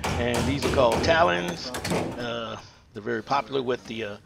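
A man working as a plumber, explaining a pipe installation in an unfinished house, pausing often with fillers. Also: mid-range voice, vocal fry, heavy background noise. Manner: halting, many "uh" fillers. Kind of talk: hands-on how-to explanation. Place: unfinished house under construction, indoors.

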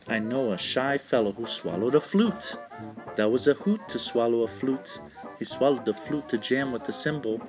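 Male narrator, children's read-aloud, mid-pitched voice, sing-song rhymes, instrumental music underneath. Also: low-quality recording.

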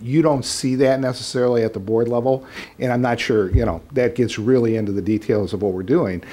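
Low-voiced man explaining at a board meeting. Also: dark tone. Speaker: school district administrator. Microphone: desk microphone.

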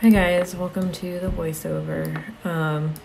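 Young female vlogger, slow, slightly raspy, hesitant, echoey home room. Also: on the low side, flat delivery, dark tone, soft background music. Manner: halting, many fillers and restarts. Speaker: art vlogger. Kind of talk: casual confessional talk. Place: home studio room with desk and monitors.

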